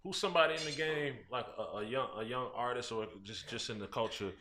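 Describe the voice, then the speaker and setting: A man's voice: medium pitch, fast, bright, forceful tone, echoey room. A man hosting a casual podcast, asking questions in a laid-back way and humming along in agreement. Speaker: podcast host.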